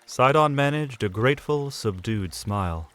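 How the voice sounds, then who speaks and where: A man narrating an audio drama in a measured storytelling manner. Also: medium pitch, lively intonation, fairly bright tone, soft background music. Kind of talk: scripted narration.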